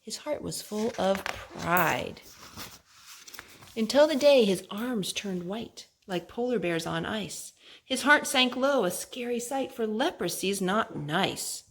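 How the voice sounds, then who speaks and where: Gentle woman reading a kids' book. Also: medium pitch, animated, slow pace, a little hiss or hum. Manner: gentle, sing-song storybook reading. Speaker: mother and storytime host. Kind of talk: reading a rhyming children's book to her child.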